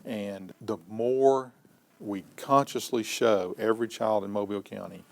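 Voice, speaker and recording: Very slow, creaky-voiced man. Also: fairly low pitch, steady tone. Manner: deliberate, weighty phrasing. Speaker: interviewee. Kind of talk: reflective documentary interview.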